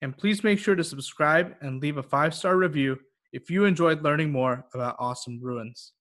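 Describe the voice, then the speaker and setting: A man's voice: fairly high pitch, moderate pace, fairly bright tone. A man hosting a podcast, asking questions and signing off in a friendly, joking way. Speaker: podcast host.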